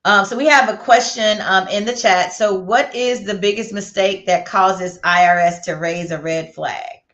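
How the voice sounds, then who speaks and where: A woman's voice: medium pitch, moderate pace, fairly bright tone. A woman hosting a casual interview, heard through a dull, muffled recording. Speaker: host relaying audience questions.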